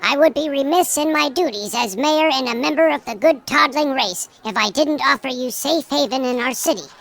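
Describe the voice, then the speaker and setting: A sage little elder mayor played by a woman in a fantasy audio drama, with a fairly high voice. The speech is formal, measured and eloquent, with the air of a grandparent. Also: a bit bright. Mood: grave, measured.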